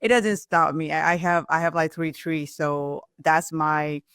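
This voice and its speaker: Clear-voiced woman with a Burmese accent. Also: sits low, fairly even intonation, fairly bright tone, no echo, clean studio sound. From Myanmar (Burma). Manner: non-native English with simple, halting phrasing. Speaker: restaurant owner and entrepreneur. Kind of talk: personal storytelling in an interview.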